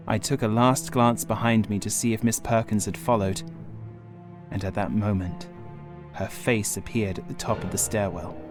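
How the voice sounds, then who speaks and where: Casting: male audiobook narrator for gothic horror, lowish pitch, suspenseful and grave delivery, music playing behind. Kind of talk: dramatic scripted horror narration.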